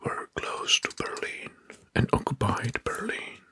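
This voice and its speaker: A man whispering an ASMR history narration into a close microphone, hushed and deliberate, softly repeating key phrases. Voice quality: breathy, mostly unvoiced whisper. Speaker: ASMR host.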